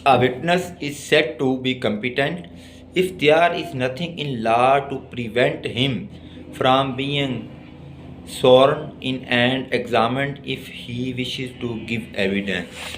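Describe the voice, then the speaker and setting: A man with a Pakistani accent teaches a law lesson at a slow, deliberate pace. His voice sits at an ordinary middle pitch as he reads out and explains material for students. There is faint noise behind him. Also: fairly bright tone, low-quality recording. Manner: deliberate, word-by-word when reading, explanatory. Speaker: law lecturer. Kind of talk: exam-oriented law lesson, reading English text and explaining it.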